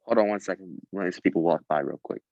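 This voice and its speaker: A man's voice: deep voice, quick pace, dry recording. A man at a table read over a video call, reading script lines and coaching others between them. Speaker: script reader and prompter. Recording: dry, slightly dull call audio.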